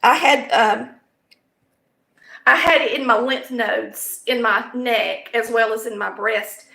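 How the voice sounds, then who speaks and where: Raspy Southern woman. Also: fairly high pitch, slow, bright, forceful tone. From American South. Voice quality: raspy, hoarse voice. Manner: folksy, chatty, explains step by step. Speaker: home cooking show host. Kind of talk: casual cooking demonstration. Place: home kitchen.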